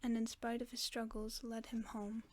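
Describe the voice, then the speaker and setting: A woman reading a fairy tale aloud as a sleep aid, in a soft, mostly unvoiced whisper close to the microphone. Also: fairly high pitch, completely flat delivery, normal speed, a little hiss or hum. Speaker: ASMR storyteller. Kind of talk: whispered ASMR bedtime story reading.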